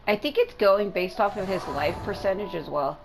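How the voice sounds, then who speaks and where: A woman with a congested, mucusy throat doing casual, sweary game commentary, thinking out loud. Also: mid-range voice, normal speed, heavy background noise. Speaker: gaming video host. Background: music.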